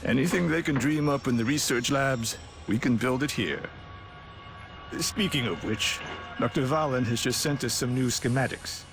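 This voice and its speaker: A man playing a courteous, thoughtful older chief engineer, speaking formally and deliberately in a video game. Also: medium pitch, animated, noisy background, lightly acted. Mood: welcoming. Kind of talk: scripted video game dialogue.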